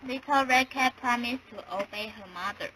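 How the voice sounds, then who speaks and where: A woman's voice: a little high, normal speed, pushed and bright, slight background noise, rough recording. A young woman reading scripted storybook narration.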